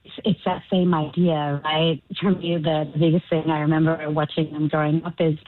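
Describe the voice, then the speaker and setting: Animated woman on a tinny phone line. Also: low-pitched, moderate pace. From Chile. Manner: animated, laughs often, acts out quotes. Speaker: stage actor, guest. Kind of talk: casual interview chat.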